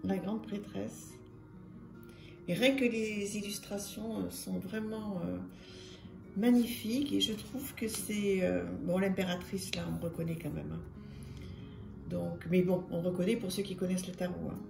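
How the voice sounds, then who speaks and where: A woman's voice: medium pitch, fairly even intonation, measured pace, creaky. A woman hosting her tarot channel, showing a deck in a casual, chatty way with many fillers and repeated words. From France. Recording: echoey room with music behind. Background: soft music.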